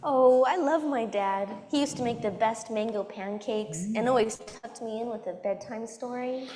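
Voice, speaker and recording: Woman as a silly puppet, very high voice, playful, affectionate, children's puppet show. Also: normal speed, warm tone, a little hiss or hum, lightly acted. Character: silly, affectionate puppet who jokes about family.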